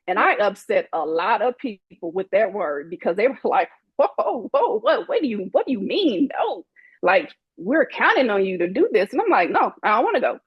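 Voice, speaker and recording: A woman's voice: mid-range voice, slightly rough, no echo, highs cut off. A woman in her forties, a guest in an interview, speaking candidly in a sassy, emphatic way and acting out the people she quotes. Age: 40s.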